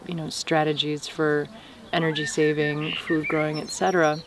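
A young woman from the United States, an herbalist and permaculture teacher, speaking in an earnest, passionate and measured way outdoors in a rural field. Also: low voice, little pitch movement. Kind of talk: casual interview talk. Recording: outdoor countryside noise. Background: birds in the countryside.

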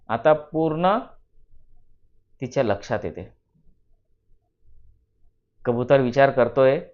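Higher-pitched male storyteller, slightly muffled sound. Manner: didactic, deliberate, repeats phrases for emphasis. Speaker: storyteller and channel narrator. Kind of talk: children's moral story narration. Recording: dull lo-fi voice recording.

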